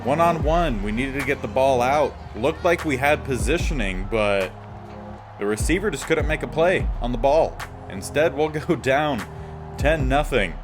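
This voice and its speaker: A man with a slightly raspy voice giving casual gameplay commentary as the host of a gaming series. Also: mid-range voice, expressive, unhurried, music in the background.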